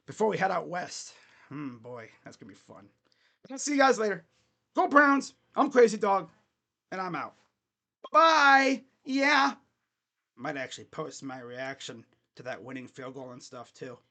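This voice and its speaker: A man hosting his own sports recap channel, talking as an excited fan who trash-talks rivals and whose voice is getting hoarse. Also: sits high, a little slow, pauses often. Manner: animated, trash-talking, laughs often.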